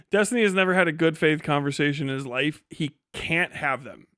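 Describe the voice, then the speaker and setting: A man's voice: a little high, normal speed, bright, forceful tone, smooth, no echo, clean studio sound. A man giving casual, opinionated livestream commentary in a blunt, sarcastic and profane way. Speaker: livestream host reacting to a debate video.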